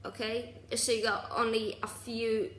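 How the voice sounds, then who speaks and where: A woman around twenty talking casually in a personal vlog, halting and restarting, with sarcastic asides. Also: on the high side, level tone, a bit bright, reverberant. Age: 20s. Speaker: vlogger.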